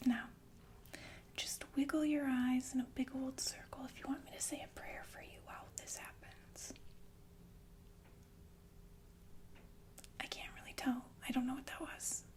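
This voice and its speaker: Sinister ASMR nurse , acted by a woman: high-pitched, whispered, halting, soothing on the surface, casually menacing. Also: little pitch movement, a little mellow, natural voice. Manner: slow, halting, casually polite about menace. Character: softly menacing killer nurse, falsely soothing. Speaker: ASMR performer. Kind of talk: whispered ASMR roleplay, addressed to listener.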